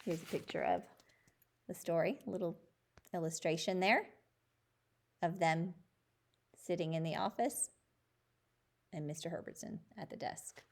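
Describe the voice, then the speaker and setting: A woman's voice: lowish voice, measured pace, vocal fry, pauses often. A woman reading a children's chapter book aloud as a storyteller.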